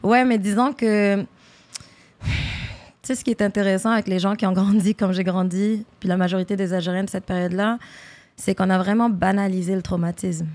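A woman's voice: mid-range voice, steady tone, brisk, darkish tone. A woman in her thirties, from Algeria, whose first language is an Algerian Arabic dialect. She talks casually as a guest in an interview and slips English phrases into her French. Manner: mixes French with English phrases, frequent fillers. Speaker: guest rapper.

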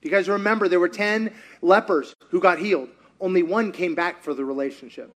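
A man's voice: sits high, brisk, fairly bright tone. A man, a pastor, teaching in a sermon style, repeating lines for emphasis and questioning the audience.

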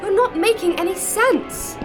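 An actress playing a young alien princess, an urgent and emotional daughter, in a sci-fi audio drama. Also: female voice, very high-pitched, measured pace, music in the background. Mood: urgent, exasperated.

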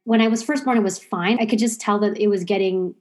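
A woman's voice: mid-range voice, steady tone, fast talker. Earnest Zambia-raised woman telling her story. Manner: earnest, fillers like "like" and "so". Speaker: podcast guest. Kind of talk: personal storytelling in an interview. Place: remote podcast recording.

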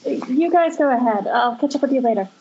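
A woman's voice: high-pitched, lively intonation, fairly quick, vocal fry, warm tone, slight background noise. A woman playing an earnest young female graduate in an amateur script table read. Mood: casual. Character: Alice, earnest young woman graduate devoted to her father. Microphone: Skype call. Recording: dull lo-fi internet call.

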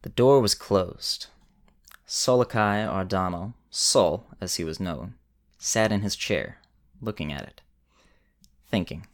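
A man narrating a scripted story in a measured way with dramatic pauses. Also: deep voice.

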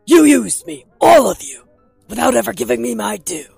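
A man playing a menacing, bitter, cocky villain in an audio drama, with a raspy, taunting delivery. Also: fairly high pitch, animated, normal speed. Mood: bitter, threatening. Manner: taunting, swearing, theatrical. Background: music.

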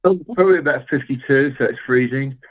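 Guest voice: middle-aged man, relaxed storyteller, heard over a thin phone-style line. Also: mid-range voice, quick pace. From England. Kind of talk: casual interview chat. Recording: tinny phone-like remote line.

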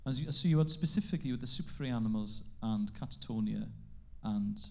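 A man's voice: mid-range voice, fairly quick, gravelly. A Welsh man in his forties whose first language is Welsh, a comedian guest telling stories in casual chat and laughing often. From Wales. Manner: chatty storyteller, laughs often.

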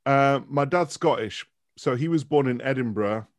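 A man's voice: mid-range voice, normal speed, no room sound. A middle-aged man with a Mancunian accent and a trace of Dundee, chatting loosely as a guest lecturer. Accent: Mancunian with some Dundee. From Manchester, England. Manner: chatty, rambling, laughs easily. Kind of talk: casual interview chat.

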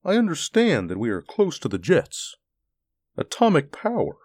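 A man voicing a huge, gentle alien beast in a story, speaking in an articulate, formal, pensive way. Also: a little high, huge pitch swings, moderate pace, breathy edge, no room sound, full character voice. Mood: earnest, pleading. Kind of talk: storybook character acting.

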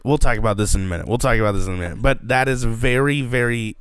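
A man co-hosting a book podcast, sick today with a gravelly voice, chatting casually and rambling with lots of fillers. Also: medium pitch, fast, fairly bright tone, no room sound, studio quality. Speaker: podcast co-host. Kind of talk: casual chat.

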